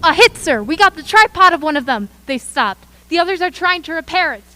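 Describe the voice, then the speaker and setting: An anxious artillery spotter played by a woman calls out clipped reports in a very high, bright voice. It is urgent radio play acting, picked up close and dry. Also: animated, brisk, dry recording, heavily acted. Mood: excited, urgent. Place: school gym used as theater.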